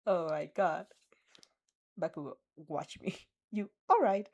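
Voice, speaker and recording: A woman's voice: on the low side, very animated, takes it slowly, darkish tone, breathy edge. A young woman reading a fanfic aloud, often breaking off to laugh and react, stumbling and restarting as she goes.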